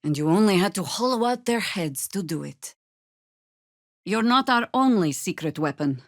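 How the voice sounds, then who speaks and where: An actress playing a seasoned, sardonic older druid adventurer in a video game, with dry, wry and deliberate formal phrasing. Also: female voice, mid-range voice, expressive, no room sound, close to an everyday voice. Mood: wry, commanding. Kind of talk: video game character acting.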